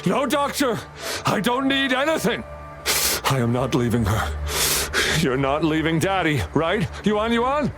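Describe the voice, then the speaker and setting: Frantic father, high and strained. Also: big pitch swings, fairly bright tone, soft background music, exaggerated voice. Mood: desperate. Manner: anguished, pleading, halting. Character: desperate, unhinged father clinging to his daughter. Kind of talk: video game voice acting.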